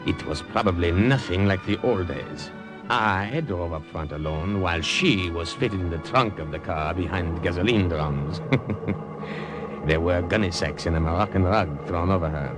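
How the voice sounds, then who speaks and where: A man's voice: low-pitched, moderate pace, over music, close to an everyday voice. A man acting a suave, wry poet spy and narrator in a 1950s-style radio drama, with a courtly, slightly hesitant manner. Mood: wry narration. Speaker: narrator and lead character. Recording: noisy old-time radio recording.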